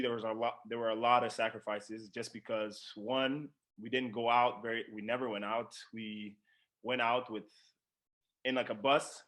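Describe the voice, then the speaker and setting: A man's voice: mid-range voice, a bit bright. A young man from the Democratic Republic of Congo speaking casually as an interviewed professional athlete. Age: young adult. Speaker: professional soccer player being interviewed.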